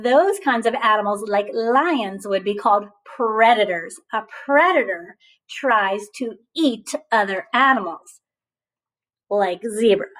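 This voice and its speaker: A woman reading a picture book aloud as a teacher of young children, animated and encouraging, often asking questions and pausing for answers. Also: medium pitch, low-quality recording.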